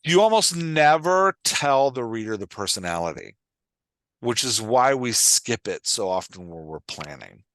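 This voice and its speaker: A man's voice: mid-range voice, very animated, measured pace, fairly bright tone, dry recording, poor audio. A man hosting and coaching in a conversational, explanatory way, often checking that the listener follows. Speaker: podcast host and writing coach.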